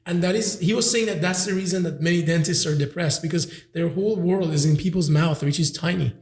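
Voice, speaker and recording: A man's voice: on the high side, brisk, darkish tone. A nineteen-year-old man hosting a casual podcast chat, rambling with lots of fillers and restarts. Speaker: podcast host. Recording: echoey room.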